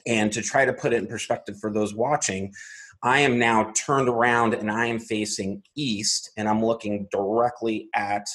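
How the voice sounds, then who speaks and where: A man with a husky voice, an interview guest recounting events methodically, step by step, with frequent pauses. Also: fairly low pitch, fairly even intonation, a bit bright. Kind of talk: recounting a personal incident in an interview.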